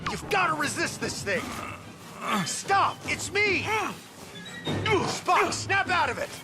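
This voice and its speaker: A man's voice: extremely high pitch, big pitch swings, slow, heavily acted. A man playing a cocky, informal young starship captain in a cinematic video game. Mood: desperate, urgent. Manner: informal, commanding. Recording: loud music behind the voice.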